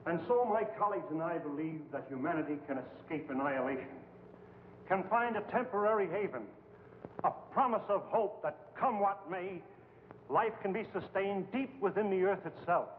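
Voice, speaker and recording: A man's voice: high-pitched, expressive, moderate pace, big-room sound. A man playing an embattled, earnest scientist in 1950s film style, speaking in a formal, declamatory way. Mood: earnest, persuasive. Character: embattled, earnest male scientist pleading to save mankind. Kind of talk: 1950s film acting, oratorical. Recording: hollow, tinny old film soundtrack, hiss.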